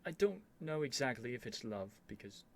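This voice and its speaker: A man's voice: mid-range voice, very animated, moderate pace, creaky. A man playing a stubborn, flustered young prince with haughty, theatrical phrasing in an ASMR roleplay. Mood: shy, flustered.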